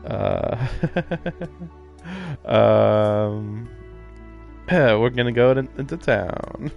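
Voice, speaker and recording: A man's voice: fairly low pitch, steady tone, drawn-out words, gravelly, lo-fi sound. A man doing casual livestream commentary and reading aloud, puzzled and musing as he goes. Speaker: livestreamer playing a visual novel. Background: game music.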